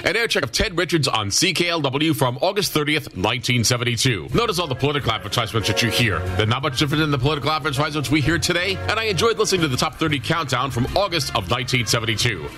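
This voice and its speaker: A man hosting a radio talk show, chatting on a video call, quick and a little apologetic. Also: mid-range voice, expressive, music in the background. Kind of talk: casual panel chat.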